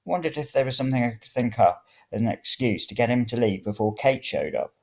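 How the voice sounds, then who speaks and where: A man reads first-person fiction aloud. His voice sits at an ordinary male pitch and has a strong creak running through it, giving the narration a gravelly grain. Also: animated, quick pace. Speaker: reader of serial fiction. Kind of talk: first-person story reading.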